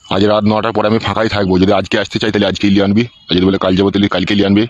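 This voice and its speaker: A man's voice: on the low side, little pitch movement, very fast, dry recording, lo-fi sound, natural voice. A man playing a sleazy, bossy village politician in a comic cartoon, speaking rustic slang. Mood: bossy, scheming.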